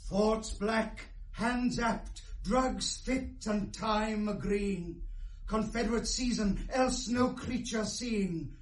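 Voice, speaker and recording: An actor playing a sinister poisoner in a radio Shakespeare play, declaiming verse like an incantation in an echoing space. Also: male voice, high-pitched, slow pace. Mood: menacing. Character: sinister male poisoner, a player in the court play. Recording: roomy, echoing studio sound.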